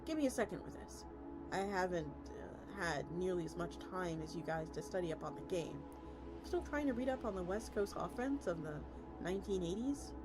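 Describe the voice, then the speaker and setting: A woman's voice: on the low side, animated, a little fast. A woman streamer reading a story aloud expressively, sometimes stumbling over words and restarting lines. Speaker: VTuber streamer. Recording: music playing under the voice. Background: music.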